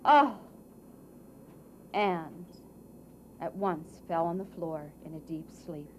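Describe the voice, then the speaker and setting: A woman narrating a scripted fairy tale for a puppet show in a theatrical way, with dramatic pauses and emphasis. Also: fairly low pitch, a little mellow. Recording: muffled, faint background noise.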